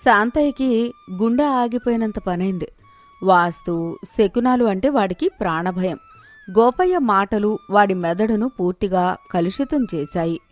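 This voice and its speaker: A woman's voice: medium pitch, lively intonation, measured pace, soft background music. A woman narrating a scripted moral story as its storyteller.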